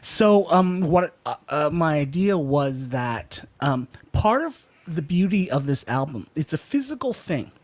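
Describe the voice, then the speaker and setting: A middle-aged man hosting a casual live conversation, halting and often repeating his words as he goes. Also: fairly high pitch, sing-song. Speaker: podcast host and music producer. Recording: reverb-drenched live stream, clear background noise.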